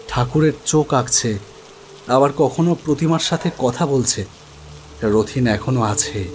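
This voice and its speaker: An actor playing an anxious idol-maker who recounts a horror story in a dramatic, expressive first-person narration. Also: male voice, medium pitch, slight background noise, natural voice. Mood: haunted. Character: anxious male idol-maker recounting his ghostly encounter. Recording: studio voice over music bed.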